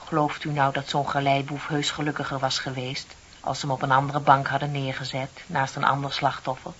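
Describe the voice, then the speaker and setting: A woman's voice: very deep voice, monotone, a little fast, a bit bright. An actress playing a chatty, dreamy younger female office clerk in a Dutch radio play, with a theatrical, wryly ironic way of talking. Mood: resigned. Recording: dull, hissy old radio recording.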